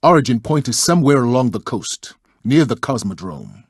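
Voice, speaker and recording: A military officer in a video game. The voice is husky and dryly recorded, delivering mission briefings in a businesslike way. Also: male voice, medium pitch, animated, moderate pace, no room sound, clean studio sound. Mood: urgent, businesslike.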